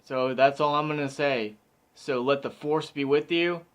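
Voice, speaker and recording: A man giving a casual, rambling movie review, excited and slightly hoarse from talking so much. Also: a little high, moderate pace. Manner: enthusiastic, rambling with many fillers. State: slightly hoarse, losing his voice.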